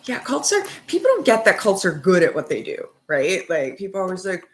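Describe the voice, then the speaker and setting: A woman's voice: mid-range voice, wildly swooping pitch, a little fast. A young woman chatting casually on a livestream while knitting, rambling and laughing often. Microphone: phone mic with earbuds.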